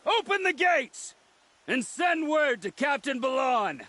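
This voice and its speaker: A man voicing an exuberant, commanding soldier in a video game, shouting in a pompous, declamatory way. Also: very high voice, sing-song, slow pace, heavily acted. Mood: triumphant, commanding.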